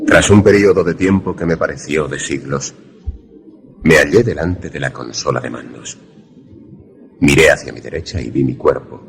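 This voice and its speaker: Wary narrator, extremely deep gravelly voice. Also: male voice. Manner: formal, deliberate, grave. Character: wary male narrator-protagonist, secret lover in danger. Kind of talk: dramatic first-person radio drama narration.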